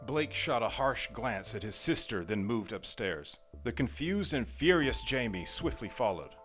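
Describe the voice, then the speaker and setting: A man's voice: mid-range voice, very animated, measured pace, a bit bright, soft background music. A man reading scripted narration for an audio drama as its narrator.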